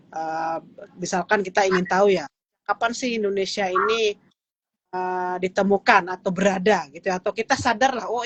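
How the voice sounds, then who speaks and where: A woman's voice: medium pitch, slightly rough. A woman in her thirties, a guest expert, explaining heritage in an interview with many "eh" and "ya" fillers.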